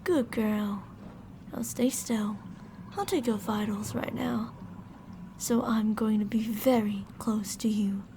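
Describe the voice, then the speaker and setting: A woman's voice: mid-range voice, slow pace, noisy background. A woman playing teasing, possessive female mad scientists in an ASMR roleplay, coaxing and domineering with sweet menace. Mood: controlling, coaxing.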